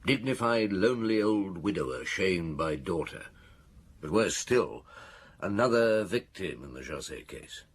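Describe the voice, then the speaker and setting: Thoughtful detective, low voice, slightly rough, deliberate, authoritative, radio play. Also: male voice, animated, full character voice. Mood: wry, grave. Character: seasoned, thoughtful male French detective recounting a case. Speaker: actor in radio drama. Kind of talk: radio drama dialogue.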